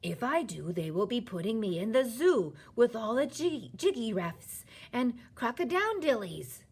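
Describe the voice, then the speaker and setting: A woman voicing a gentle, stubborn, gloomy male giant from a children's storybook, with muddled folksy grammar and invented words. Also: a little high, very animated, moderate pace, breathy edge, pitched above the performer's own voice, full character voice. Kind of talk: children's storybook character reading.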